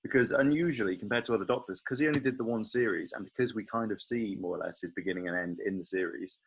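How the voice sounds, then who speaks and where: A man's voice: lowish voice, fast, gravelly, slight background noise, rough recording. A man chatting casually as a podcast host, speculating in a tentative way. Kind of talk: casual podcast chat about a TV series.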